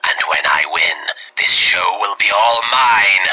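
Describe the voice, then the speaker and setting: A man's voice: low voice, unhurried, sharp tone, heavily acted, low-quality recording. A man voicing a scheming, mocking young villain with a British accent, theatrical and menacing, in a cartoon parody dub. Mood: menacing, gloating. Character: scheming evil young male villain, mocking and power-hungry.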